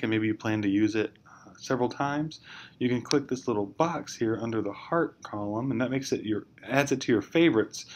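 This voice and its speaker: A man giving an informal, step-by-step software tutorial in a hesitant, explanatory way. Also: mid-range voice. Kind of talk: informal screencast walkthrough. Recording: clear background noise, amateur screencast.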